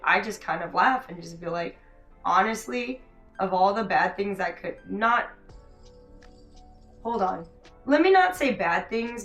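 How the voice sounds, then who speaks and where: A young woman with a raspy, hoarse voice, telling a rambling story in a casual vlog. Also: medium pitch, a bit bright. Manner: chatty, laughing, many likes and restarts. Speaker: vlogger. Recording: roomy echo, faint music behind.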